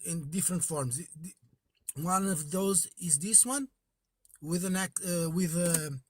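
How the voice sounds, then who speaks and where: A man's voice: high voice, measured pace. A man in his forties whose first language is Portuguese, calling in and searching hesitantly for English words. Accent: Portuguese-speaking. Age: 40s. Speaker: caller.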